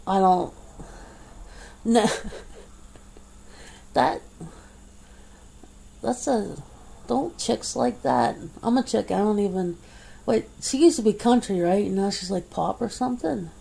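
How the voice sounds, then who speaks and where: Slow, gravelly woman chatting softly. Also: medium pitch, animated, rough recording. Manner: rambling, chatty, laughs often, many fillers. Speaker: ASMR creator. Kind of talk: ASMR roleplay, one-sided chat with an unseen partner. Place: indoors at home.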